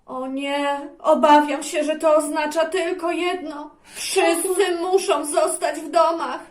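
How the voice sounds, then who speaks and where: A woman voicing a wise, kind king who speaks slowly and earnestly. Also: sits high, fairly even intonation. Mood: worried. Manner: slow, earnest, concerned. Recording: echoey room.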